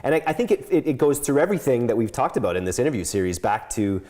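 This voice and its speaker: A man hosting an interview series, talking in a relaxed, conversational way and laughing along easily. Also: mid-range voice, quick pace. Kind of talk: casual interview talk.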